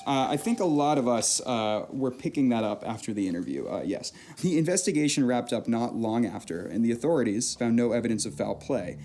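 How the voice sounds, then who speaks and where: A man's voice: mid-range voice, lively intonation, fairly quick, hardly any pauses, dark tone, natural voice. A man playing an awkward, earnest investigative reporter in a comic audio drama, hesitant and pedantic.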